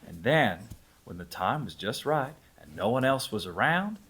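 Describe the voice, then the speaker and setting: Man acting a cocky, menacing bounty hunter, swooping pitch. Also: sing-song, moderate pace, fairly bright tone, husky. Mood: sly storytelling. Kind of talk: screenplay table read. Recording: live table read.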